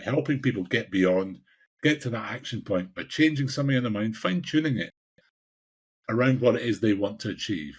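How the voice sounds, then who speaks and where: A Scottish man in his sixties with a husky voice, answering interview questions in a passionate, emphatic way and repeating phrases for emphasis. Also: on the low side. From Scotland. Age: 60s. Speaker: executive coach, podcast guest.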